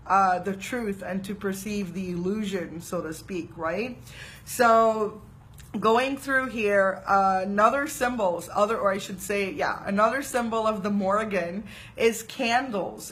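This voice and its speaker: A woman talks through spiritual practices in an easy, conversational way, with a voice in the middle range. She is animated and chatty, drifting into fillers and quick "right?" check-ins as she goes. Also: mid-range voice. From Trinidad and Tobago. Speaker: spiritual teacher. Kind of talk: casual spiritual talk to camera.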